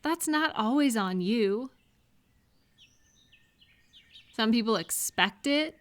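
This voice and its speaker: Woman acting as caring female friend, slightly high voice, slow unhurried pace, gentle reassurance, comfort roleplay. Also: lively intonation. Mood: gently firm. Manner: gentle, reassuring, unhurried, asks soft questions. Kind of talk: comfort audio roleplay, one-sided conversation.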